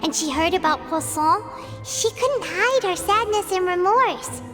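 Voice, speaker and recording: A woman voicing a small cheerful know-it-all fairy companion with a squeaky, childlike voice, in an English game dub. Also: animated, measured pace, over music. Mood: earnest. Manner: childlike, squeaky, speaks of herself in third person.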